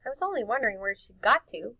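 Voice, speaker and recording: A woman's voice: a little high, big pitch swings, fast, gravelly, sharp tone, dry recording, exaggerated voice, poor audio. A woman voicing a bold, curious young boy in a storybook, with insistent, challenging questions.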